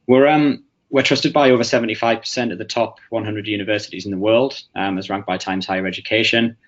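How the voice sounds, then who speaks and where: A lower-voiced man with a Northeast English accent gives a product webinar. He hesitates a lot, with frequent fillers and restarts. The recording sounds muffled and lo-fi. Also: steady tone, quick pace. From England. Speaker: webinar presenter. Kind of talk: webinar presentation. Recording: muffled lo-fi audio.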